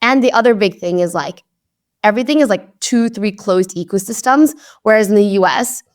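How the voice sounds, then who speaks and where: A woman's voice: mid-range voice, normal speed. Young woman from hong kong, podcast guest, casual chatty talk, medium voice. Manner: chatty, upbeat, many "like" fillers. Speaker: guest investor.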